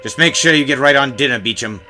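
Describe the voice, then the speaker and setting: A man voicing a puffed-up, idle gentleman who styles himself a Count, pompous and put-upon, in a storybook. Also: medium pitch, fast, soft background music, voice pushed up. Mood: peevish, resigned. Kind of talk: storybook character acting.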